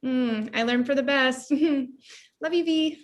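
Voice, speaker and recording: Woman playing a very high-voiced sarcastic daughter. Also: slow. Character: sarcastic, jaded grown daughter, a smart aleck. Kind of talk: virtual table read of a comedy script.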